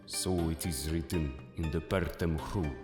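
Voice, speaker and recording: A man acts out a mysterious Egyptian mystic for a manga audio drama. The voice is deep and grave, drenched in echoing reverb over clear background music. Every phrase is weighty and portentous. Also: steady tone, a little slow. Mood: solemn, mysterious. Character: solemn, mysterious Egyptian mystic man wielding ancient magical items. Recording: echoey, long cavernous reverb.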